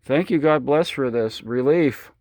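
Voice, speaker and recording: A man's voice: medium pitch, measured pace, darkish tone, no echo, studio quality. An American man chatting casually as an amateur radio operator, rambling along in a folksy, enthusiastic way. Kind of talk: casual ham radio chat. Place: indoors at a home radio station.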